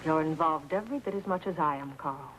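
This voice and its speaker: An actress playing a defiant, scheming wealthy wife in a 1950s crime drama, with a haughty, sharp, dramatic delivery. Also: female voice, deep voice, brisk. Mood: scornful, defiant. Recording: noisy old broadcast soundtrack.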